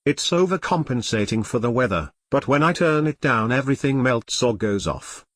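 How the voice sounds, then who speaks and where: A man's voice: medium pitch, animated, moderate pace, fairly bright tone, dry recording, clean studio sound. A synthetic male text-to-speech voice playing a melodramatic, easily flustered computer turned elephant in an audio comedy drama. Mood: flustered, indignant. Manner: theatrical complaining in synthetic text-to-speech delivery.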